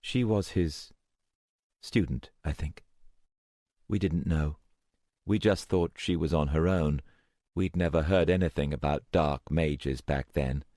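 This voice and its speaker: A man's voice: rumbling low, slow, long pauses, mellow, no echo, studio quality. A man voicing a frightened, weary young woman who speaks in a hesitant, strained way. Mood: subdued.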